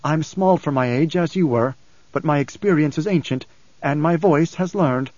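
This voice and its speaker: A serene, commanding child prophet with an ancient soul, acted by a male narrator in an audiobook. The delivery is calm and formal, on close, dry and slightly muffled audio. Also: fairly high pitch, normal speed, no room sound, close to an everyday voice. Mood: serene, assured. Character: small boy prophet, serene, commanding, ancient beyond years.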